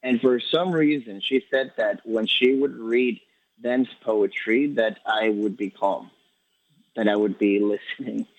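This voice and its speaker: Quick-talking man from Ecuador, halting. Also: lowish voice, no room sound, dull audio. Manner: quick, halting, many restarts and fillers. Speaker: poet guest. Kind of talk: casual interview answers.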